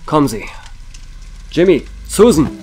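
A man's voice: on the high side, sing-song, measured pace, warm tone, husky, exaggerated voice. A man playing a commanding, sarcastic and impatient police chief in a German horror radio play. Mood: stern, sarcastic. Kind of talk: German horror audio drama acting.

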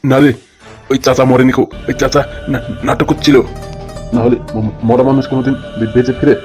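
A man playing a roguish, boastful but rattled gang boss telling his tale excitably in a comic cartoon. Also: mid-range voice, brisk, soft background music, lightly acted. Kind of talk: comic Bengali village cartoon dub.

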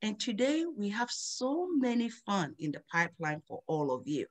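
Lady teaching sunday school on a video call, singsongy, drills verses slow. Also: mid-range voice, big pitch swings. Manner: warm, sing-song teacher voice, slows down to drill verses. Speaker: host of a children's Bible program.

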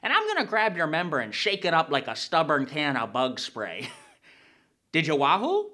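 Man as a folksy, lively Minnesotan hotline guy. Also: on the high side, normal speed, full character voice. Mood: chipper, flirty. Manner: folksy, chuckling politeness. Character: chipper, folksy Minnesota phone sex operator. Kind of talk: character comedy monologue.

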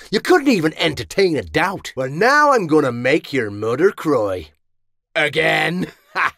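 An actor voicing a cocky, mocking Irish sellsword in a video game, with cheeky, punchy, colloquial delivery. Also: male voice, fairly high pitch, dry recording, clean studio sound, exaggerated voice. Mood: taunting. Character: brash, mercenary male sellsword, cocky and mocking. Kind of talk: video game battle voice lines.

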